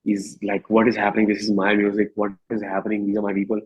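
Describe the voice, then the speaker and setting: A man in his thirties with an Indian accent, speaking candidly and reflectively as an interview guest, often halting and rephrasing his thoughts. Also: lowish voice, completely flat delivery, darkish tone, slightly rough, highs cut off. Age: 30s.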